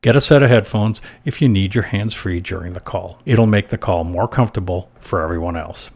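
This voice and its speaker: Low-pitched man presenting scripted business advice. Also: fairly quick, darkish tone. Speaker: host of a short business advice podcast.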